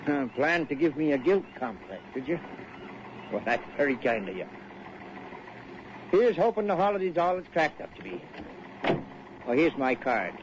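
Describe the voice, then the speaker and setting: A man's voice: on the high side, very animated, normal speed, long pauses. Radio drama driver: blunt, chatty local man, swooping intonation, muffled noisy old broadcast. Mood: folksy, nosy. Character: folksy, nosy small-town male cab driver. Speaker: supporting character, local driver.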